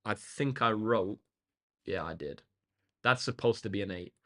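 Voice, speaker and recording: Casual male streamer, slightly croaky voice. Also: on the low side, measured pace, bright, forceful tone. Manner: informal, appreciative, addresses viewers directly. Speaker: maths tutor live streamer. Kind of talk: casual livestream chat to viewers.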